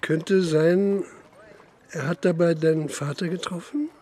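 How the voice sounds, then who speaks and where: Probing, higher-voiced police investigator. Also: male voice, fairly even intonation, mellow, husky. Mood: insistent. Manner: matter-of-fact, insistent, measured questioning. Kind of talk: naturalistic radio drama acting and narration.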